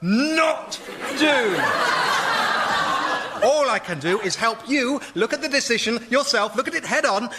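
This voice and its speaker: A man's voice: very high-pitched, very noisy. An actor playing an exasperated male therapist straining to stay neutral in a radio comedy sketch.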